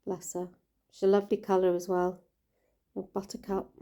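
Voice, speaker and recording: A woman with a croaky voice, gently talking through caring for her koi in a casual vlog. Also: mid-range voice, level tone, normal speed, dull audio. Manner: gentle, caring, chatty with fillers. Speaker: koi keeper vlogger.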